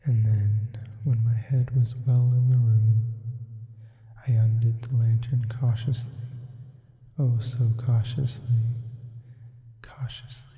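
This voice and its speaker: Sleep paralysis demon , male performer, ASMR storytelling: even, whispery, menacing delivery, muffled sound with added reverb. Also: medium pitch. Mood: hushed, eerie. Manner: slow, hushed, often whispering, deliberate. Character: indifferent, menacing sleep paralysis demon reading aloud at night. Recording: muffled, long artificial reverb.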